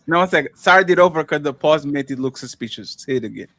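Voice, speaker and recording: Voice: medium pitch, animated, quick pace, a bit bright, no echo. A host with a Russian accent in a casual group chat, teasing, cutting in and searching for words.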